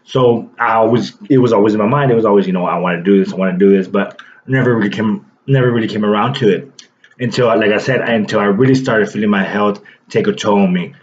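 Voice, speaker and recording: A man's voice: on the low side, quick pace, lo-fi sound, a little hiss or hum. A man in his late twenties telling a personal story to his viewers in a loose, rambling way, full of fillers and restarts. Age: 20s. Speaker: vlogger. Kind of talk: casual personal story to camera.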